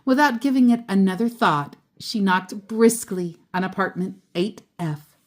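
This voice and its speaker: A woman reading a children's picture book aloud expressively as a storyteller, with deliberate, dramatic emphasis on descriptive words. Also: mid-range voice.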